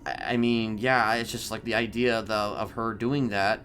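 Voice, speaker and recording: A man in an improvised in-character roleplay conversation, talking haltingly and often restarting his phrases. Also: on the low side, fairly even intonation, a bit bright. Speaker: roleplay game player.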